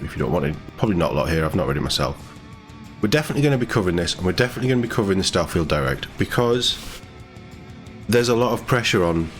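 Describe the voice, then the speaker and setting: A British man presenting gaming news in a casual, sardonic way, mixing read-out text with his own commentary. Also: deep voice. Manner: sardonic, sweary asides. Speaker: gaming YouTuber.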